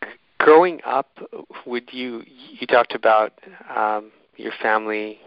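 A man hosting an interview, speaking haltingly and searching for his words, on slightly dull audio. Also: medium pitch, gravelly, smooth, no room sound. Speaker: interviewer and host introducing a guest. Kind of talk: hosting an online community talk.

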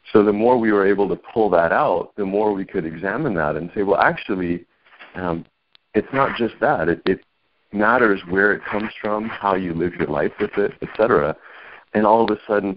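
Deep-voiced male host, measured. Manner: measured, reflective, with restarts. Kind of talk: podcast interview hosting. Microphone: video call. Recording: dull lo-fi call audio.

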